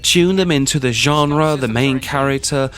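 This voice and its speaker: A man's voice: mid-range voice, a little slow, a bit bright. A man hosting a video essay in scripted narration. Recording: music behind the voice. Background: background music.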